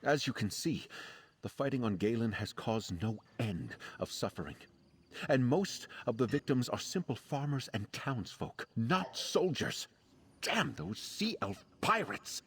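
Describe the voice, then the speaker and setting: An actor playing an earnest, concerned relief leader in a video game, speaking formally and politely. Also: male voice, mid-range voice, big pitch swings, measured pace, fairly bright tone, a little hiss or hum. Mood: troubled, indignant. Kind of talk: video game character dialogue.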